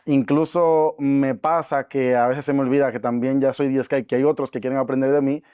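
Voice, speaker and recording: A man's voice: medium pitch, level tone, brisk, dark tone, smooth, no room sound, studio quality. A man, a podcast guest talking earnestly and reflectively about his life, with frequent fillers. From Dominican Republic. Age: 20s. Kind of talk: casual interview talk.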